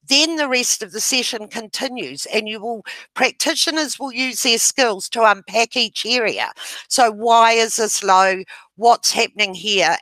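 A middle-aged woman from New Zealand giving a webinar over a video call with a headset, explaining a method in a loud, gesturing way. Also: mid-range voice, dry recording, low-quality recording. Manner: loud voice, gestures while talking. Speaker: webinar presenter. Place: home room, indoors.

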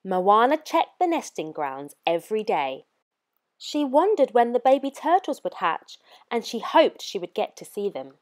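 Animated woman reading a children's storybook. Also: on the high side, unhurried. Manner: animated, clearly paced read-aloud for young children. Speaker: storyteller reading a children's picture book aloud. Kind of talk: expressive scripted storybook narration.

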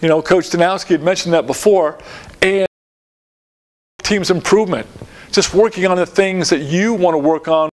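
A man's voice: sits high, moderate pace, pauses often. Older man lecturing, rambling with restarts. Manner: rambling, lots of fillers and restarts, gestures at slides. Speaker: lacrosse coach giving a clinic talk. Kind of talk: coaching clinic lecture. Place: dim lecture room in front of a projected slide.

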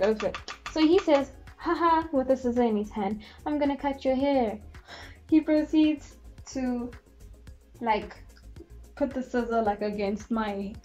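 Young woman, congested, higher-pitched, mellow, giggly casual storytelling, camera mic. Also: highs cut off. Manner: chatty, giggly, acts out quotes. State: congested, losing her voice. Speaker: vlogger. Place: bedroom, indoors.

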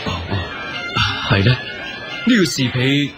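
Wealthy genial master, courteous, exclamatory, very animated, radio drama, background music. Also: male voice, mid-range voice, measured pace. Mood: pleased, generous. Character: wealthy genial household master, polite and credulous. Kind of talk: Cantonese radio drama acting. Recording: music behind the dialogue.

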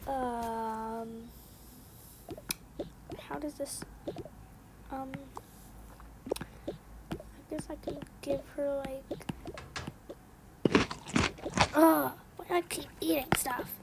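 A woman's voice: high voice, fairly even intonation, very slow, gravelly, long pauses, heavily acted. A woman voicing a put-upon little girl in a toy-game roleplay, hesitant and mildly whiny. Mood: hesitant, grumbling. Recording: noisy home recording with clicks.

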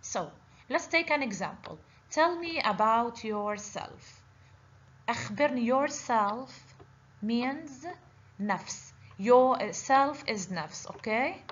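Woman teacher going thru a language lesson step by step, kinda lo-fi voiceover w/ a bit of hiss. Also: on the high side. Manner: patient, explaining step by step with frequent "okay?". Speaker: Arabic teacher. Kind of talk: online language lesson over slides. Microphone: voice-over on slides. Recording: slightly dull lo-fi voice-over with faint noise.

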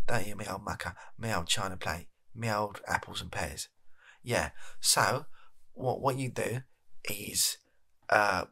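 A young man acts out an evasive British male energy company boss in a comedy monologue. His voice is fairly low and husky, yet bright and close. He speaks softly and haltingly, with shameless deadpan self-justification. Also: heavily acted. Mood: matey, scheming. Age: young adult. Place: small home bedroom studio.

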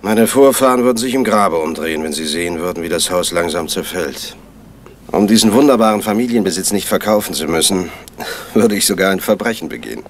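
Older genial male writer and manor host: urbane, deep, lively intonation, old crime radio drama with faint noise. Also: fairly quick. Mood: confiding, wistful. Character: genial, ageing male crime writer, hospitable estate owner. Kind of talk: German crime radio play acting, circa 1970. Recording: noisy vintage radio play recording.

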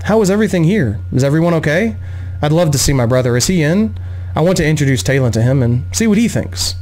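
A man's voice: fairly high pitch, lively intonation, fast, darkish tone, natural voice, poor audio. A man voicing an eager, affectionate young woman bard in a fantasy audiobook story.

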